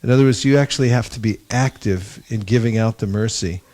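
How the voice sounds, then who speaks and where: A man's voice: fairly low pitch, a little fast, a little mellow. A man leading a devotional class discussion, telling anecdotes with wry humor. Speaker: discussion leader. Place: gathering in India.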